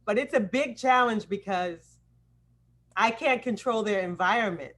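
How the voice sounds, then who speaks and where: A woman's voice: mid-range voice, normal speed, fairly bright tone. A woman hosting an informal question and answer session as a director and acting coach on a video call. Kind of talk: informal live Q&A talk. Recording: noisy video call with clicks.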